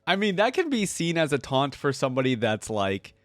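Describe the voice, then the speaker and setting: A man's voice: medium pitch, wildly swooping pitch, fairly quick, fairly bright tone, dry recording, clean studio sound. A man hosting a reaction video, giving casual, conversational commentary with brief interjections.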